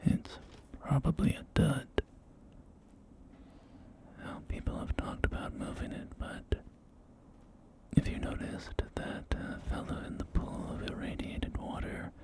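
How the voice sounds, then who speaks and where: A man playing a folksy post-apocalyptic real estate agent in a whispered ASMR roleplay, with gentle, hesitant, reassuring sales patter. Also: measured pace, long pauses, natural voice. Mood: calmly reassuring. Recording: close whisper over soft music.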